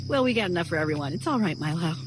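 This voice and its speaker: A man's voice: on the high side, lively intonation, very fast, over music, voice pushed up, lightly acted. A man voicing a cheerful middle-aged redheaded woman who fusses over children in a folksy way. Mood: cheery.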